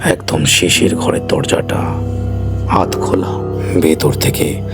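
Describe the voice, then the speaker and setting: A man's voice: extremely low pitch, slow pace, over music. A man narrating a scripted horror story as a storyteller.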